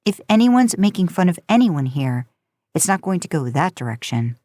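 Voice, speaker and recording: A woman's voice: lowish voice, big pitch swings, moderate pace, dark tone, dry recording. A woman reading a story aloud in audiobook-style narration. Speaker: podcast host and fan fiction narrator.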